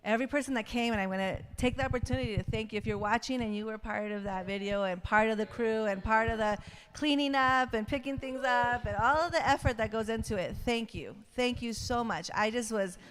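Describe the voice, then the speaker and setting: A woman hosting a casual livestream, talking in an effusive, halting way. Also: fairly high pitch, slight background noise. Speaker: livestream host.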